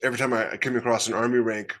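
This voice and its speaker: A man's voice: medium pitch, little pitch movement, very fast, fairly bright tone. A middle-aged man, a podcast co-host and author, chatting casually on a video call from home with loose, trailing asides. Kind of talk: casual chat. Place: plain small room at home.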